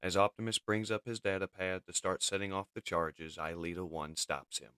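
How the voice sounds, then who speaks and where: A man's voice: sits low, level tone, normal speed, pushed and bright, smooth, no room sound, studio quality. A man narrating a comic book as a dramatic scripted reading. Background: music.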